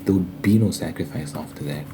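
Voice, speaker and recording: A man's voice: deep voice, fairly quick, vocal fry, noisy background. A man giving an informal, earnest Bible study monologue in an ASMR style, repeating phrases for emphasis.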